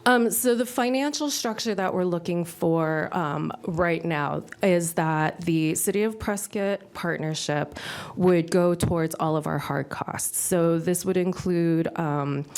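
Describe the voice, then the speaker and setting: Woman at a council podium, slightly low-pitched, scratchy with a cold, earnest and upbeat, lots of ums. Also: unhurried, darkish tone, smooth. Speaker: presenter to city council. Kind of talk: public presentation at a council meeting. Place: city council chamber.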